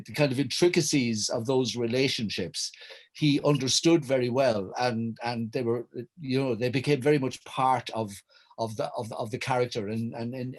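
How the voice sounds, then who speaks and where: Halting, wordy Irish man. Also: mid-range voice. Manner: hesitant, stammering restarts, wordy and articulate. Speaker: writer and director, panel guest. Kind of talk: reflective conversation recounting memories.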